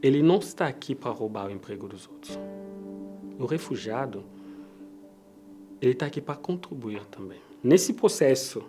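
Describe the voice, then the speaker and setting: Congolese-accented man, relaxed mellow tone, halting non-native delivery, documentary interview. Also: mid-range voice, faint music behind. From Democratic Republic of the Congo. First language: not Portuguese. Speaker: refugee interviewee. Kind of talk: testimonial in a documentary.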